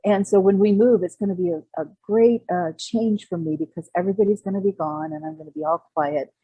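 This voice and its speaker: A woman's voice: fairly low pitch, steady tone, fairly quick, mellow, slightly rough, no room sound, dull audio. A woman in her sixties chatting casually as an author being interviewed on a podcast. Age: 60s.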